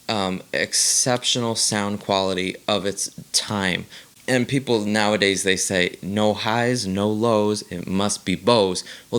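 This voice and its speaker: A man's voice: fairly low pitch, fairly bright tone, clean tone. A man hosting a casual, unscripted review, talking enthusiastically and often repeating and rephrasing his points. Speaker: vlog host reviewing audio gear.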